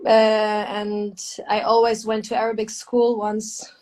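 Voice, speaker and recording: A woman born in Germany, a singer, talking relaxed in an interview with restarts and small grammar slips in English. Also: medium pitch, slow pace. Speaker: guest singer. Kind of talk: casual interview conversation. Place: on a video call from abroad.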